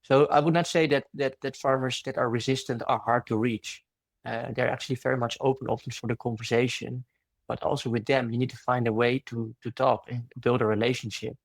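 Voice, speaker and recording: Man panelist, storytelling, dry close recording, mid pitch. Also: steady tone, fairly quick, creaky, dry recording.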